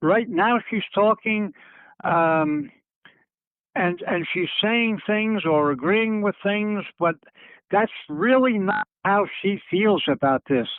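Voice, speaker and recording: Older male interviewee, slightly high-pitched, hesitant and rephrasing as he reflects, thin telephone sound. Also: lively intonation, dry recording. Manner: halting, circling and rephrasing, searching for words. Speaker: interviewee recalling family history. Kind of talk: reflective phone interview talk. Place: on a telephone call. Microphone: telephone handset. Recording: tinny narrowband phone line.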